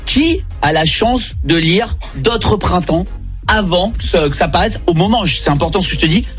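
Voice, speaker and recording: A man hosting a playful radio show from a studio, full of teasing and quick laughter. His voice sits high for a man, with soft music faintly underneath. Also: animated. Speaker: radio host.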